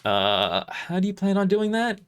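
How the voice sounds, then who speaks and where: A man's voice: high-pitched, big pitch swings, moderate pace, smooth, pitched above the performer's own voice. A man voicing a bold, stubborn and loyal lady fighter in an audio drama, speaking informally and brashly. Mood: awkward.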